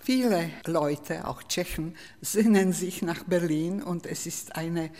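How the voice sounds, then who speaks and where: A woman interviewer asking measured, formally worded questions. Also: fairly low pitch, darkish tone. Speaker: radio interviewer. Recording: reverberant room with faint ambient noise.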